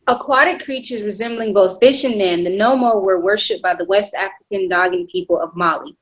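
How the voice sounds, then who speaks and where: A woman's voice: medium pitch, brisk, lightly acted, poor audio. A woman playing an earnest, bookish friend in a screenplay table read. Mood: earnest explaining.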